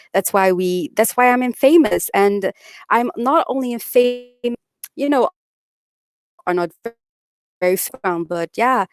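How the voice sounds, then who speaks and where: A young woman with a Dutch accent, chatting bluntly with viewers on a live stream and reading out their comments. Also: medium pitch. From Netherlands. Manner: blunt, outspoken, says it like it is.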